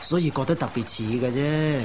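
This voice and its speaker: An actor playing a jovial, teasing gentleman of old China, genial and coaxing, in a Cantonese radio drama. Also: mid-range voice, moderate pace, background noise, close to an everyday voice.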